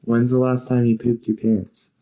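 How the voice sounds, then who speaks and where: A man's voice: mid-range voice, flat delivery, normal speed, warm tone, a little hiss or hum, poor audio. A man hosting a podcast in casual chat from a bedroom, rambling and joking and swearing freely. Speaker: podcast host.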